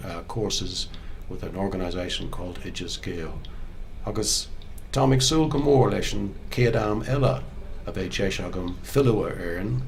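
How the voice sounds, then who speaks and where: An older man with a Belfast accent tells his own story slowly, giving each phrase in Irish and then in English, with some hesitations. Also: low-pitched, a little hiss or hum. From Northern Ireland. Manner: measured, unhurried, with hesitations. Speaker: museum storyteller.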